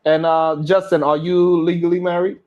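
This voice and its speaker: A man's voice: a little high, little pitch movement, normal speed, a little mellow, lo-fi sound. A man hosting a discussion show, moving things along with brief, casual remarks. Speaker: show host.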